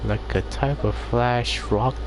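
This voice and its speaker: A man's voice: mid-range voice, steady tone, takes it slowly, background noise. A man casually commenting while playing a game, reading its text aloud with mumbled asides. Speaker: gamer.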